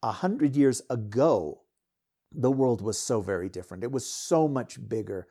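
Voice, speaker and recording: A middle-aged man from the United States hosts his own show and talks on his own in a loose, rambling way. He speaks candidly at a middling pitch, and his loudness rises and falls a lot. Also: lively intonation, unhurried, slightly rough. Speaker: podcast host and author. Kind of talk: casual solo talk.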